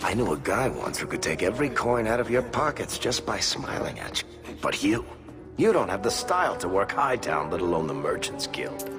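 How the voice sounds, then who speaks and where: An actor playing a roguish, smooth-talking male dwarf storyteller in a video game, with a wry, theatrical, persuasive way of talking. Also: fairly low pitch, very animated, moderate pace. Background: game music.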